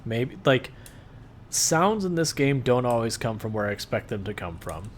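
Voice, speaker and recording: A man streaming a game live, talking through his play in a calm, soothing, laid-back way. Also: mid-range voice, moderate pace, a little hiss or hum. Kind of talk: casual live stream gameplay commentary.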